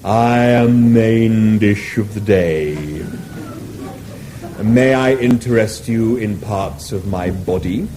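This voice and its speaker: A man voicing a courteous male cow-like creature with a low, mellow lowing voice and an unctuous, polite waiter manner. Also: takes it slowly, music in the background.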